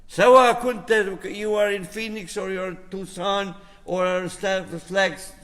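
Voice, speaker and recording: An older man from Albania with an Albanian accent, speaking as an imam in a rambling, storytelling way to a community gathering, drifting into asides and gentle exhortation. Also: high voice, steady tone, takes it slowly. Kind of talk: informal talk to a community gathering. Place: mosque community hall. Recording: echoey hall reverb.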